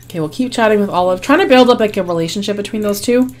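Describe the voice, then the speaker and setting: A woman hosting a life-sim game video, her voice hoarse and fading, chatting casually with frequent ums and small laughs. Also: medium pitch, very fast. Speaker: gaming channel host. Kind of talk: casual gameplay commentary.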